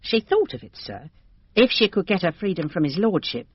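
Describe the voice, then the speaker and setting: A woman's voice: fairly low pitch, big pitch swings, moderate pace, breathy edge, no echo, highs cut off. An actress playing a gossipy, deferential English lady's maid who talks in a confiding, colloquial way in a radio drama.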